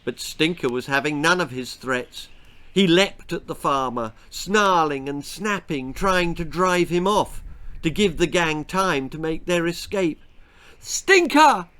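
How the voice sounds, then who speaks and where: A man's voice: fairly high pitch, measured pace, a bit bright, smooth. A man with an English accent reading a children's story aloud as a dramatic storyteller. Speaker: author reading own story.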